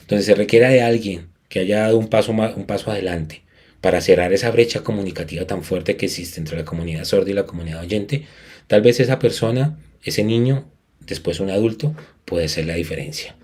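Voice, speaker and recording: Earnest low-voiced Colombian man lecturing. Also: brisk, a little mellow. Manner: earnest, emphatic, with frequent restarts. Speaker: lecturer and music educator. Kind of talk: academic conference talk.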